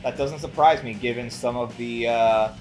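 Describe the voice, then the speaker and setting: A man's voice: mid-range voice, moderate pace, darkish tone, soft background music. A man co-hosting a casual, conversational film review show. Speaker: co-host of a movie review show.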